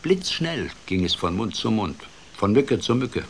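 An actor playing a playful storyteller who tells a children's fairy tale in an expressive, theatrical way for a radio drama. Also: male voice, lowish voice, a little slow, slight background noise. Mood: lively.

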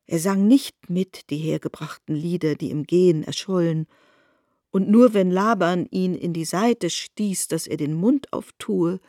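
A woman's voice: fairly low pitch, a little slow, mellow, dry recording. Woman narrating a radio play.

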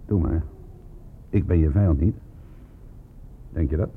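Very deep-voiced, dry police inspector. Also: male voice, unhurried, slight background noise. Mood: probing, insistent. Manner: terse, dry, insistent questioning. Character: dry, worldly-wise male police inspector, probing and sardonic. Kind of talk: radio play acting. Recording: phone-like thin radio play recording with music.

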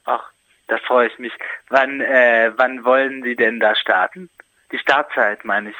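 Man, mid-pitched, bright edgy voice, hesitant, halting, word repetitions, casual phone chat. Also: steady tone, no room sound, rough recording. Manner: hesitant, halting, repeating words. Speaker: person receiving a phone call.

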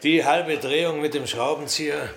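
An actor playing a curious, wry puppeteer's apprentice in a radio drama, conversational and questioning. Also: male voice, fairly high pitch, normal speed, creaky, background noise. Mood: polite, wry.

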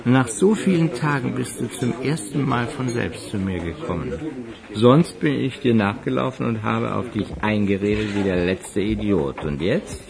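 A man's voice: fairly low pitch, normal speed, vocal fry, crowd noise. A man playing an ironic, wounded pianist and spurned suitor in an intimate radio drama. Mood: surprised, reproachful.

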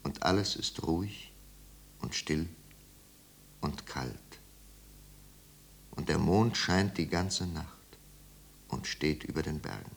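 A man narrating a 1950s literary radio drama as a grave, sombre storyteller with deliberate pauses. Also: extremely low pitch, animated, gravelly, a little hiss or hum, exaggerated voice. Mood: grave, sorrowful.

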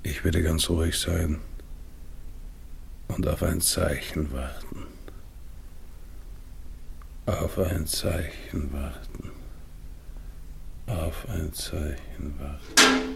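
An actor in a German radio play voicing a tormented, dying polar expedition leader who talks haltingly to himself, husky and gravelly. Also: male voice, rumbling low, fairly even intonation, pushed and bright, a little hiss or hum, full character voice. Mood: weary, haunted. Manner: halting, repeats words, swings between murmurs and desperate calls.

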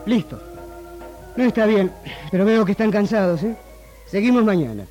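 An actor playing a bossy film director and loyal friend in a 1960s Argentine radio drama. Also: male voice, high-pitched, animated, normal speed, a little mellow. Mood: commanding. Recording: 1960s radio archive, music behind.

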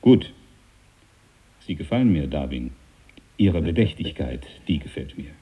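An actor playing a formal, earnest, devout aristocratic sea captain in a radio play. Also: male voice, rumbling low, sing-song, unhurried, warm tone, breathy edge, full character voice.

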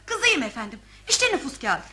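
An actress playing a polite, naive, insistent young daughter pleading in a radio play. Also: female voice, high voice, big pitch swings, moderate pace, sharp tone, full character voice. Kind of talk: radio theatre acting.

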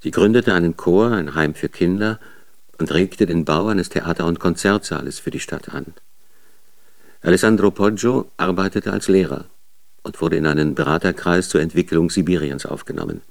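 Low-voiced male radio drama narrator. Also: moderate pace, gravelly. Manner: sober, documentary delivery.

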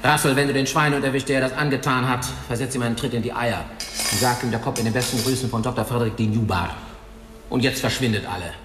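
An actor in a radio play voicing a blunt, matter-of-fact coroner who talks coarsely. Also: male voice, medium pitch, fast talker, echoey room, long reverb tail, background noise, close to an everyday voice. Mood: gruff. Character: gruff cynical male coroner, older, blunt. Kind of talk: radio drama acting.

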